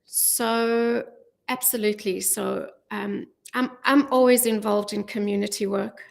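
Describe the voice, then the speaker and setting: A middle-aged woman speaking as a guest in a radio studio interview, earnest and measured, with frequent ums, on a studio microphone. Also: mid-range voice, steady tone, vocal fry. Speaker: guest, charity volunteer. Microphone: studio mic with headphones.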